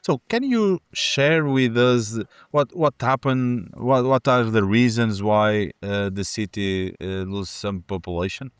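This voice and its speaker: A man's voice: fairly low pitch, takes it slowly, few pauses, clear, resonant tone, no echo, clean studio sound. A man hosting an interview, asking questions hesitantly and rephrasing them as he goes. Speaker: host.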